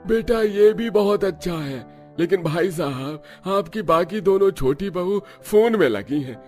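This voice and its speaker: A man's voice: high-pitched, animated, unhurried, darkish tone, voice pushed up. A man voicing a gossipy, judgmental house guest in a cartoon. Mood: disapproving. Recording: music under the voice.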